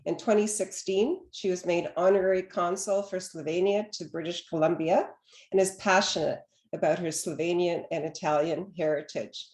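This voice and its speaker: A woman hosting a video call meeting, introducing speakers with many pauses and restarts. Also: fairly low pitch, fairly even intonation, a little mellow, echoey room. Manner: hesitant, many fillers and restarts. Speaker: host.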